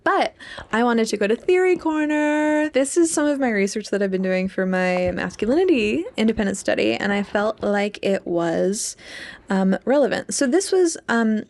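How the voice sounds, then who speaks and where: A woman's voice: fairly high pitch, lively intonation, normal speed, darkish tone. A young woman co-hosting a podcast, talking in a casual, hedging, informal way with the odd swear word. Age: young adult. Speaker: podcast co-host and PhD student.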